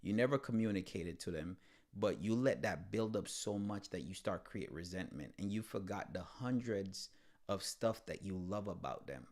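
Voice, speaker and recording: A man co-hosting a casual podcast with his wife, laid-back and halting, often repeating words as he starts. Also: low-pitched, lively intonation, a little mellow. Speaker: co-host.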